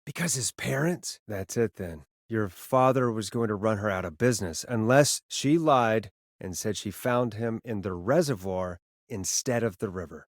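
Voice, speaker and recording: A man playing an earnest, rattled radio host in a video game, speaking in a halting, conversational way as he reasons with a killer. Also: lowish voice, dry recording, studio quality. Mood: grave, piecing together. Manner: halting, stammering, conversational delivery. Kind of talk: dramatic video game voice acting.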